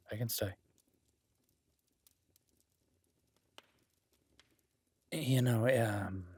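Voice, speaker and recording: A man playing a solitary, kindly cabin dweller and former medic in an intimate audio roleplay, speaking haltingly with dry teasing and reassurance. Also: lowish voice, fairly bright tone, husky, dry recording. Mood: awkward, hesitant. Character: gruff-kind solitary cabin-dwelling former EMT, awkward, teasing, protective.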